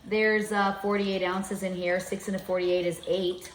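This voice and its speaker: A cheerful woman in her fifties talks viewers through a recipe step by step. Her voice sits at a mid pitch and bounces around an echoey home kitchen over a faint hum. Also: level tone, measured pace. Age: 50s. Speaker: home cooking vlogger. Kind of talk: casual cooking demonstration.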